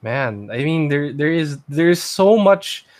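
Male co-host, mid-pitched with very animated intonation, casual, recorded dry and close. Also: slow, no echo. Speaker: podcast co-host. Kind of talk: casual chat, short reactions.

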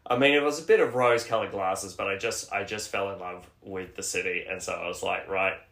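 A young man talks loosely as a podcast guest, circling back and correcting himself as he goes. His voice sits on the low side. The room around him is noticeably echoey. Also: brisk, a bit bright. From Australia. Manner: chatty, rambling with self-corrections. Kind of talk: casual interview chat.

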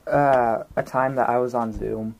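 A woman's voice: extremely low pitch, fairly even intonation, unhurried, gravelly, a little mellow. A woman chatting casually as a podcast co-host and tabletop game player. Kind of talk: casual chat.

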